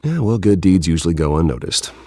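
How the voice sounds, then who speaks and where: An American actor playing a brooding, guarded billionaire vigilante in a video game, understated and dryly wry. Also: male voice, low-pitched, very animated, fairly quick, darkish tone, no room sound, clean studio sound. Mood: dry, weary.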